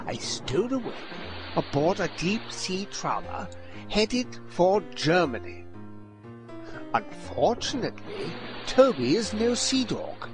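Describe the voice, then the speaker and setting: An actor playing a pompous male detective, telling his exploits theatrically and deliberately in a comic radio play. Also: high voice, very animated, music in the background, heavily acted. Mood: self-assured storytelling.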